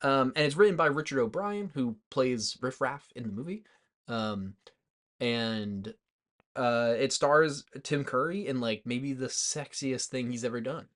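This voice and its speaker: A man's voice: mid-range voice, measured pace, fairly bright tone. A tipsy man co-hosting a podcast, chatting casually about movies in a rambling, hesitant way. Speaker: podcast co-host.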